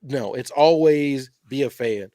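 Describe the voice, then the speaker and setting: A man's voice: medium pitch, unhurried, mellow, no room sound. A man in his forties from Louisville with a Kentucky accent, co-hosting and joking animatedly with his guests. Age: 40s. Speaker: co-host. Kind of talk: casual chat.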